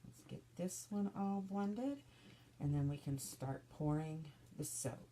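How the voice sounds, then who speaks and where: A woman making soap, chatting through each step in a casual demonstration, her voice slightly stuffy and hoarse from a cold. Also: sits low, animated, slow pace, dark tone, slight background noise. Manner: chatty, narrating each step as she works.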